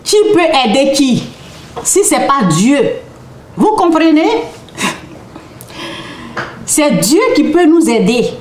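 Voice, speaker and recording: A woman's voice: high voice, very animated, slow pace, long pauses, darkish tone, slight background noise. A woman talks through a spiritual lesson in an informal, unscripted way. She often checks that her listeners follow and repeats her phrases as she goes. Her voice rings around a roomy space with noticeable echo. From Côte d'Ivoire. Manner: restarts and repeats phrases, speaking off the cuff. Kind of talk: informal spiritual teaching to an audience.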